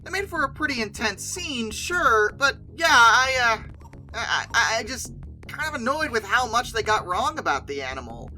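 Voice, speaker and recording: A very bright, forceful voice with lively pitch swings, in a mid-to-high register. The host talks casually and emphatically, rambling and correcting as they go. Music plays underneath. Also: moderate pace, rough recording. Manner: hesitant, self-correcting, conversational rambling. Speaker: video host. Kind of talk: casual commentary on film dinosaurs.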